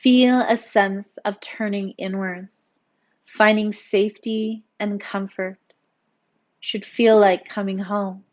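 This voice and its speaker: A woman's voice: mid-range voice, fairly even intonation, takes it slowly. Woman guiding meditation, slightly dull audio. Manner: soothing, instructional, gently encouraging. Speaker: yoga instructor. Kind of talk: guided meditation narration.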